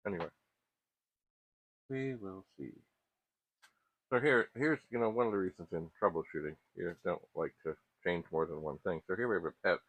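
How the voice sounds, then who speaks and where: A middle-aged man giving casual, unscripted tech commentary as he works, thinking aloud in a halting, self-questioning way. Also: sits low, dry recording. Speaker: host of a vintage computer repair channel. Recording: muffled, phone-like narrow band.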